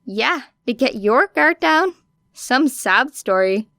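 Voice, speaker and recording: A woman's voice: high-pitched, animated, slow pace, bright, forceful tone, dry recording, studio quality, pitched above the performer's own voice, full character voice. A woman voicing an easygoing, teasing male detective in a lifted, friendly voice.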